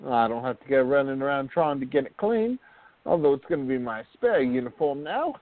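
An actor playing a friendly, boyish Australian soldier in a radio play, on a thin recording. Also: male voice, mid-range voice, expressive, a little fast, no echo, close to an everyday voice. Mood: friendly, proud. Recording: muffled, thin phone-like audio.

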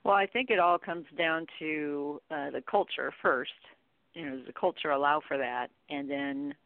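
A woman's voice: low-pitched, normal speed, no room sound. A woman speaking as an expert guest in a conversational interview, talking informally and emphatically. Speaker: guest expert on contact center training. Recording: narrow tinny phone-like line.

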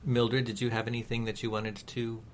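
A man co-hosting a talk radio show, speaking in an earnest, measured and encouraging, reflective way. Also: medium pitch, little pitch movement.

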